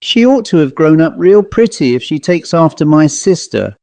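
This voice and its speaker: A male narrator plays a stiff, middle-aged spinster from a storybook. Her voice is lifted high and sits close and dry. She speaks curtly and firmly, with a suspicious edge. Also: very animated, normal speed, darkish tone, dry recording. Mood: earnest. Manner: curt, conclusive, clipped replies. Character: tall stiff spinster, stern, curt and suspicious.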